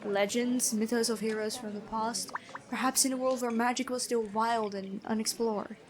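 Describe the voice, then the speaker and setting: A woman playing a gentle but stern, bookish female prison guard in a fantasy ASMR roleplay, chatty and inquisitive. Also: a little high, steady tone, moderate pace, a crowd in the background, close to an everyday voice. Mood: curious, friendly. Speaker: ASMR voice actor.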